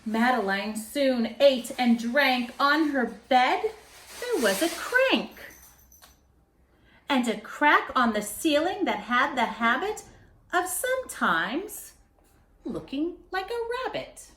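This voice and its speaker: A woman with a high, very lively voice reads a picture book aloud. She takes her time, leaning into dramatic pauses and expressive phrasing for young listeners. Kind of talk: children's story read aloud. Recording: roomy echo.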